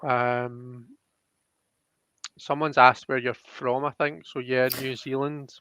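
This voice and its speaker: A man giving a casual live card reading as host, thinking aloud in a halting way, on slightly dull audio. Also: mid-range voice, little pitch movement, sharp tone, clean tone, dry recording. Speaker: host and tarot card reader.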